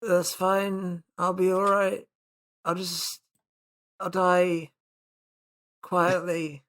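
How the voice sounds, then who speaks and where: A man playing a pitiful, high-voiced kid feigning sickness. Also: monotone, extremely slow, fairly bright tone, exaggerated voice. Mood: feeble, self-pitying. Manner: feeble, melodramatic, pleading. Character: school kid pretending to be pitifully sick.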